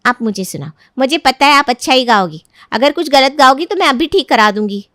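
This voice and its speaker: A sweet, earnest young sister-in-law from a storybook, voiced by a woman narrator. Her voice sits high. The recording is dry and close. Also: expressive, a little fast, sharp tone, no echo. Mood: encouraging.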